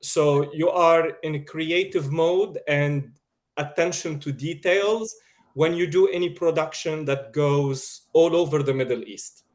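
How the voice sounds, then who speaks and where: A man with a Lebanese accent talks slowly and carefully as an interview guest on a video call. His voice sits a little high and his delivery is measured. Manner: slow and measured, with soft laughs. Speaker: guest, media producer. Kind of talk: casual interview talk.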